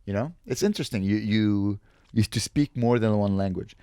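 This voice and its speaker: Homem falando palavrão, animado. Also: male voice, on the low side, normal speed, smooth, no room sound, studio quality. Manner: animated, profane, lecturing. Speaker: podcast co-host. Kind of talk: casual comedy podcast chat.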